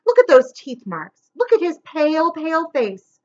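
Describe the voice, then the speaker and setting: A woman's voice: sits high, big pitch swings, lo-fi sound, voice pushed up. A woman reading aloud and voicing a scheming, sneering adult male villain with a mocking, menacing manner. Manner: mocking, menacing, drawn-out words.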